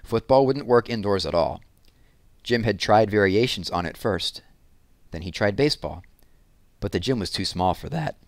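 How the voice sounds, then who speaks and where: Male storyteller for children's read-aloud; lower-pitched voice, dry close sound. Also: lively intonation, normal speed, pauses often, dry recording.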